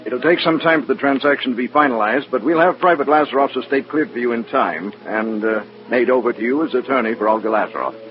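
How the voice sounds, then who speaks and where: An actor playing an obliging veterans' organization official, businesslike and formal, in mid-century radio drama style. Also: male voice, medium pitch, lively intonation, fast, hardly any pauses, lightly acted. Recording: muffled old radio recording with music.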